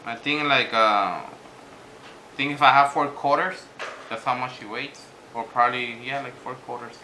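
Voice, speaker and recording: A man with a bright, sharp-edged voice talks casually to viewers as he reviews products. His delivery is hesitant and halting, and he moves between two languages. The room is echoey, with a faint layer of noise behind him. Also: medium pitch, fairly even intonation. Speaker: vlogger.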